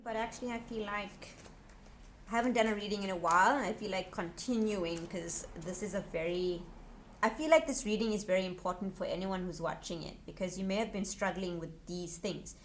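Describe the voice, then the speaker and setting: A woman giving a casual tarot reading to her viewers, chatty and earnest, her voice a little raspy. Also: medium pitch, fairly quick, slight background noise, low-quality recording. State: slightly raspy voice. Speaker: tarot reader.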